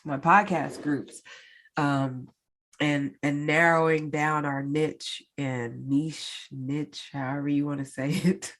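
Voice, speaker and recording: Solo podcast host, woman, stuffy-nosed and nasal, deep slow easy delivery. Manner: chatty, many fillers, addresses listeners directly. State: congested, stuffed-up nose. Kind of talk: casual solo podcast update.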